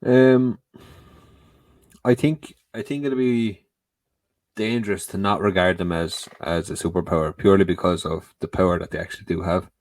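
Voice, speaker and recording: A man's voice: deep voice, fairly even intonation, normal speed, darkish tone, dry recording. A young Irish man co-hosting a podcast, chatting casually and laughing through his sentences. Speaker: podcast co-host. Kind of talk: casual chat.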